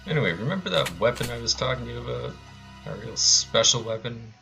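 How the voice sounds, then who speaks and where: A man's voice: mid-range voice, fairly quick, gravelly, over music. A man with an American accent giving casual, laid-back live commentary as he plays a speedrun.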